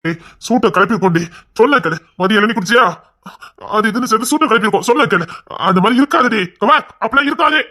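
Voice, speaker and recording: An actor playing a stubborn, controlling husband and anxious father-to-be in an emotional melodrama, insistent and repetitive, moving between hushed coaxing and agitated scolding. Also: high-pitched, fast.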